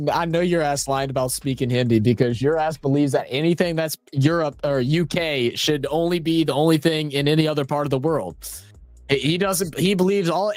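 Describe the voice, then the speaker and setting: A man's voice: fairly high pitch, no echo. A man in his late twenties co-hosting a sports watch party, rambling in a laid-back way and chuckling often. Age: 20s. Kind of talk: casual banter stream.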